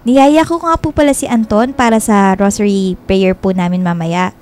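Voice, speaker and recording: A woman playing a friendly, easily delighted young Filipino woman in a radio love drama, expressive and chatty. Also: medium pitch, normal speed, faint music behind, close to an everyday voice.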